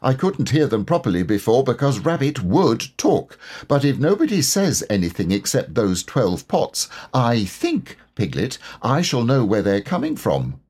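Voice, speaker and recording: A man voicing a humble, earnest toy bear who speaks in a slow, musing way for a children's storybook. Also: medium pitch, wildly swooping pitch, darkish tone, husky, heavily acted. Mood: calm, sure. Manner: slow, halting, musing delivery.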